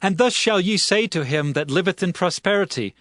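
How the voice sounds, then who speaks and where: A man playing an impassioned, loyal warrior pleading with his king in a dramatized scripture recording, in drawn-out declamatory archaic phrases. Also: sits high, expressive, a bit bright, no echo, clean studio sound. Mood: calm, commanding.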